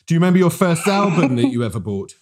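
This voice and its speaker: Dark-voiced teasing man. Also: a little high, very animated, fast, a little hiss or hum. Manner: jokey, teasing, warm mate-to-mate. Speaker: podcast host. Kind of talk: interview questions in casual chat.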